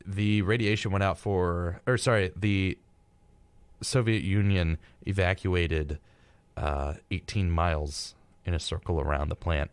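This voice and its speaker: Low-voiced young American man, dry close sound. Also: no echo. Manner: flippant dark humour. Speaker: radio show co-host. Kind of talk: casual joking chat mixed with reading notes. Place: radio studio.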